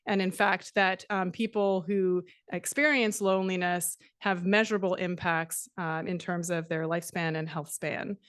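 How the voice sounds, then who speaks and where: Smooth-voiced woman giving a talk. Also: on the low side, fairly even intonation, fairly bright tone, no echo. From Canada. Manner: measured, with frequent "uh" and "um" fillers. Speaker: conference speaker. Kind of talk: academic presentation.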